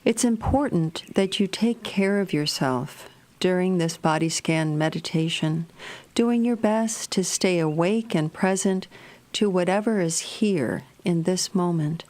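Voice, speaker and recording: A woman narrating a guided meditation in a gentle, measured, soothing manner. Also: lowish voice.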